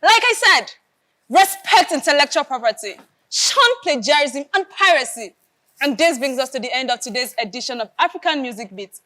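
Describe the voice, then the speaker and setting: Nigerian radio presenter lady, high bright voice, formal announcing, stage play. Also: animated, moderate pace. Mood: upbeat, formal. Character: polished female music radio show host.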